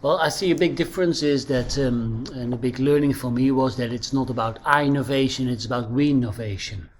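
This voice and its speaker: A middle-aged man with a Dutch accent explaining things conversationally as an interview guest, emphatically repeating key phrases. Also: mid-range voice. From Netherlands. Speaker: interview guest, innovation trainer and author. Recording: clearly noisy recording with ambience.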